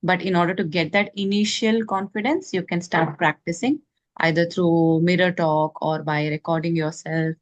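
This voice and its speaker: A woman interviewing a learner and giving clear, encouraging advice on learning English. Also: lowish voice, fairly even intonation, normal speed, dull audio.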